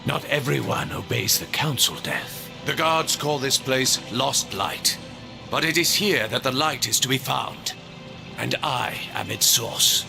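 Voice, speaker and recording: An actor playing a proud, grave ancient angelic ruler in a video game, grandiose and theatrical, with a heavily gravelly voice. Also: male voice, mid-range voice, measured pace. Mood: grave, imperious. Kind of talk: video game cutscene acting. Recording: music behind the voice.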